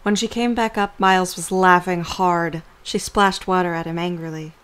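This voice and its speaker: A woman's voice: lowish voice, steady tone, moderate pace, a bit bright, clean tone. A woman narrator reading scripted story narration for an amateur audio drama.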